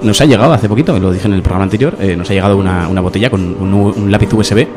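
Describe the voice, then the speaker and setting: A man's voice: lowish voice, racing, over music. A man co-hosting a radio show in casual banter, often repeating his phrases for emphasis.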